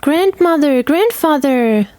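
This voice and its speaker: Woman voicing an earnest, high-voiced young girl. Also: expressive, takes it slowly, mellow, no echo. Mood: calling out. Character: kind, earnest young girl, worried for a puppy. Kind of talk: audio play character acting.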